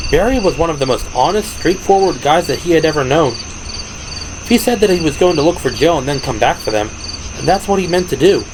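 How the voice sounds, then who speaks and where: A man reading scripted, dramatic audiobook narration as the narrator of an audio drama. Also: on the high side. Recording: noisy, night insect ambience behind. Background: crickets and ambient sound effects.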